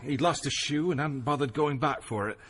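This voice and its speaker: A man's voice: on the high side, normal speed, fairly bright tone. An actor playing a polite, matter-of-fact country policeman in a period radio drama. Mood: grave.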